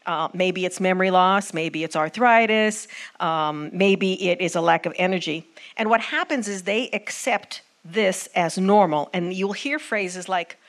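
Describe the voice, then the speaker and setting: A woman's voice: on the low side, a little slow. A woman preaching a teaching sermon, earnest and often asking the congregation to respond.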